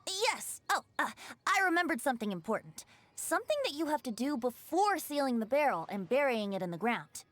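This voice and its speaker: A woman's voice: sits high, big pitch swings, fairly quick. A woman playing a charming, breezy young male bard in a video game, with a theatrical, flowery manner. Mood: playful.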